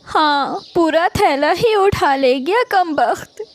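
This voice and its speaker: Lady doing sad poor cartoon bird, high voice, slow and plaintive. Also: expressive, exaggerated voice. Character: poor, wronged, sorrowful little female bird. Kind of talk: Hindi cartoon character acting.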